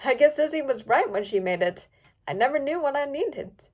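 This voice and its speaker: A woman's voice: high voice, animated, normal speed, darkish tone, voice pushed up. A woman voicing a chatty, upbeat female pony hairdresser with a gushing, valley-girl manner.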